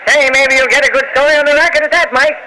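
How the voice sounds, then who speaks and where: An actor playing a sardonic, teasing newspaper city editor in 1940s radio style. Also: male voice, very high-pitched, fairly even intonation, racing, pushed and bright, slight background noise, exaggerated voice, low-quality recording. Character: wisecracking, needling male city editor. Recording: old-time radio broadcast recording.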